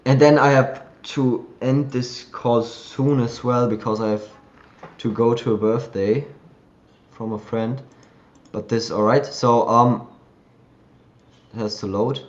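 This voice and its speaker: A man hosting a live community call, chatting loosely and thinking aloud as he shows software on his screen. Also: medium pitch, fairly even intonation, takes it slowly, long pauses, dull audio. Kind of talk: casual screen-share tutorial.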